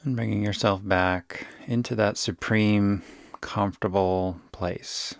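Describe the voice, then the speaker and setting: Male meditation guide: gravelly, soothing, unhurried delivery, very dry sound. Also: fairly low pitch, steady tone, no echo. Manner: soothing, unhurried, gentle instruction. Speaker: yoga nidra guide.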